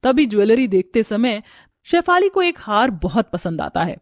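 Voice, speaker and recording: A woman tells a scripted household tale as narrator. Her voice is fairly high, and the recording is dry and close. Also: sing-song, moderate pace, no room sound. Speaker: storyteller.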